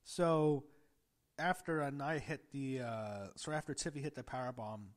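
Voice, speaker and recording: A man's voice: medium pitch, moderate pace. Under-the-weather man recapping a show. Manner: rambling, frequent self-corrections and fillers; gasping and clearing throat at times. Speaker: wrestling show reviewer.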